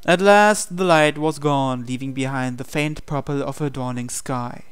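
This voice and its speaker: A man's voice: mid-range voice, normal speed, clean tone, faint music behind. A man reading visual novel narration aloud as host of a game playthrough. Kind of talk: scripted narration read aloud.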